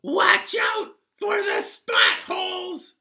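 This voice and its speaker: A man voicing a goofy male passenger who mangles every word, with a squeaky, drawn-out, shouting voice. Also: huge pitch swings, vocal fry, heavily acted. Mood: goofy, insistent.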